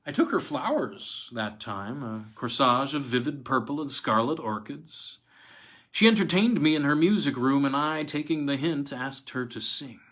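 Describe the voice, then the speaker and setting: A man's voice: mid-range voice, expressive, moderate pace, a bit bright. A man reading aloud as a story narrator, in a dramatic scripted style suited to old pulp horror fiction. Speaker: story reader.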